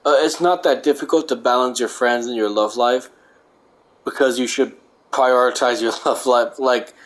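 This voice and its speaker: A man's voice: mid-range voice, moderate pace. A young man reading a book aloud and breaking off into rambling, profane rants. He says he is losing his voice. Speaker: host.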